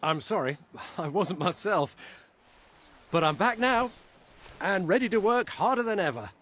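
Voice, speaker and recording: An actor playing an earnest, apologetic, dutiful gatekeeper with a husky voice in an animated fantasy game. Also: male voice, high-pitched, a little slow, exaggerated voice. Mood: renewed eagerness.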